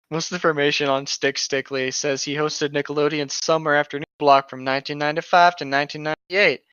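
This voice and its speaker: Male co-host, twenties, clear forward voice, casual read-aloud banter, mildly muffled dry recording. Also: a little high, level tone, normal speed, fairly bright tone, no room sound. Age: 20s. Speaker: co-host reading internet horror stories.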